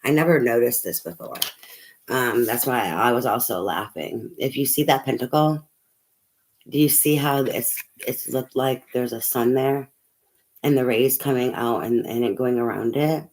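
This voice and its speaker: A tarot reader losing their voice, talking softly in a rambling, casual way full of fillers and the odd swear. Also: mid-range voice, normal speed, poor audio. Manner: speaking softly. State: losing voice, hoarse. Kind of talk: casual tarot reading to camera.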